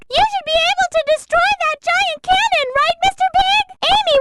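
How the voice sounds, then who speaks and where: A woman's voice: extremely high pitch, very noisy. A woman voicing a sweet, timid, polite little girl rabbit in a video game, with a tiny, childlike, earnest voice. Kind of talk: video game character voice clips.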